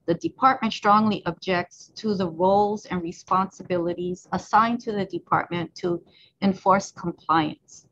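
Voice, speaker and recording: A woman in her fifties, an agency head, giving measured formal testimony over a video call from her office. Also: fairly low pitch, fairly bright tone. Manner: measured, summarizing a prepared statement. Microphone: earbud headset on a video call. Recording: slightly dull video-call audio with faint background noise.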